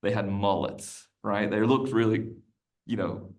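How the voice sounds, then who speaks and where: A man's voice: on the low side, fairly even intonation, a little slow. A man, a podcast guest, chatting casually and telling a long rambling story, often looping back and restarting his sentences. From United States. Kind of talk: casual conversational interview.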